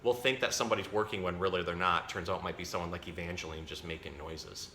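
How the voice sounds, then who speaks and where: A man's voice: deep voice, steady tone, fast, bright, forceful tone. A man giving a casual, chatty educational talk for children as a zookeeper, explaining things simply and correcting himself as he goes. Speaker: zookeeper presenting an animal storytime. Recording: roomy echo, faint background noise.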